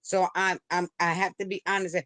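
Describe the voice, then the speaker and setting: A woman's voice: lowish voice, flat delivery, normal speed, fairly bright tone, no echo. Hesitant woman calling into a stream. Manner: hesitant, many restarts and fillers. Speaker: livestream caller. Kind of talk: call-in commentary. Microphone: remote call into a streaming studio.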